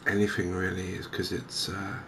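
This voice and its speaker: A man giving softly spoken, gentle ASMR game commentary in an unhurried, hesitant way. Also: low-pitched, monotone, creaky, noisy background. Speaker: host of a cozy gaming channel.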